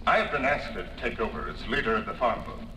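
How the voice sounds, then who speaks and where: Earnest farm-movement leader, 1950s radio drama, piercing, deliberate speechmaking in a distant, reverberant recording. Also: male voice, medium pitch, big pitch swings, pushed and bright, slight background noise. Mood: grave. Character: male farm movement politician, earnest and forceful.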